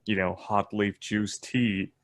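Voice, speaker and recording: A man's voice: fairly low pitch, level tone, slow, no echo, clean studio sound. A young man co-hosting a casual podcast, rambling through jokey tangents and laughing often. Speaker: podcast co-host. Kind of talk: casual chat.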